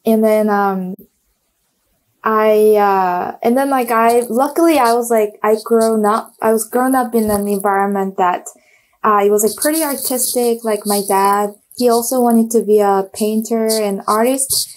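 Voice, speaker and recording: A woman's voice: fairly high pitch, steady tone, a little slow, rough recording. A young woman from South Korea with a Korean accent, talking casually as a guest on a video call, with many fillers and restarts as she searches for words. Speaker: guest, designer. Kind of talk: casual interview chat. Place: small home room with bookshelves. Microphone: earphone mic on video call.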